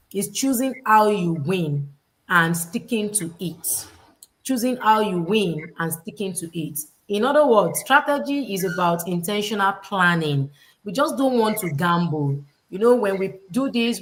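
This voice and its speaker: Nigerian woman, livestream host, preachy motivational delivery, repeats her points, mid-pitched. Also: fairly bright tone, rough recording. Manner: preachy, repeats key phrases, quotes scripture. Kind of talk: livestream panel talk, motivational.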